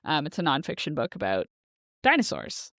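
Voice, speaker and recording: A woman's voice: low voice, wildly swooping pitch, moderate pace, a bit bright, no echo, clean studio sound. A woman co-hosting a podcast, chatting casually about books in a giggly, enthusiastic way. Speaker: podcast co-host. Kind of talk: casual book chat.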